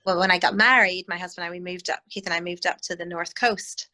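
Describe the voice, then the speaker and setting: A woman's voice: lowish voice, fairly even intonation, fast talker, pushed and bright. A Northern Irish woman in her thirties, a hymn writer talking through an interview over a video call, thoughtful with many restarts. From Northern Ireland.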